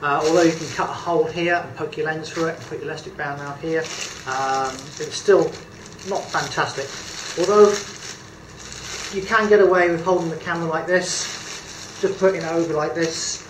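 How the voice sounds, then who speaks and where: A British man in his fifties talking through a hands-on camera tutorial, his voice a touch high for a man. He rambles in a friendly way with frequent ums and uhs. The camera mic sits a few feet off in an echoey room with a faint hum of ambience behind him. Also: steady tone, normal speed. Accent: British English. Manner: chatty, hesitant with many fillers, good-humoured. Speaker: photography tutor. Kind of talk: casual demonstration tutorial. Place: indoor room at a table. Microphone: camera mic a few feet away.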